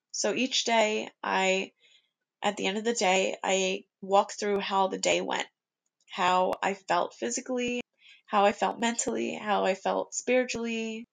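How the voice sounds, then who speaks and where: Young woman, podcast host, gravelly vocal fry, reflective solo talk, earnest. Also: mid-range voice. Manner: earnest, self-reflective, speaks in rhetorical questions.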